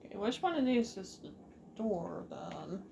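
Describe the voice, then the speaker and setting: A woman's voice: mid-range voice, sing-song, measured pace, gravelly, mellow, a little hiss or hum. A woman giving casual commentary as she streams a video game playthrough. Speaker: let's play streamer.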